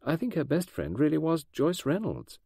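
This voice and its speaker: A gentle, vague middle-aged mother, voiced by a man in a lightly raised tone. She talks softly and hesitates, trailing off. Dry, close sound. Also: a little high, sing-song, normal speed, vocal fry, no echo, pitched above the performer's own voice, heavily acted.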